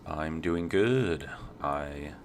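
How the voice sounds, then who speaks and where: A congested man with a deep voice, co-hosting a podcast in casual chat and recapping a game's story in a laid-back way. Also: lively intonation, very slow, slight background noise. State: congested, coming down with a cold. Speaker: podcast co-host.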